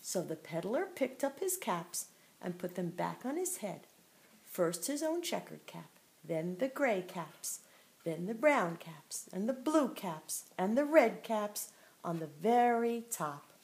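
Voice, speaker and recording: Older woman, grandmother reading aloud, slow and lively, expressive storytime voice. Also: mid-range voice. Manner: expressive, playful reading with asides to a child.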